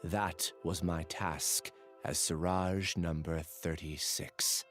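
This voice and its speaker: A man playing a troubled, earnest young scholar in a video game, speaking in a measured, confessional way. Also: sits low, steady tone, fairly bright tone, soft background music. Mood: solemn, resigned. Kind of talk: dramatic game voice acting.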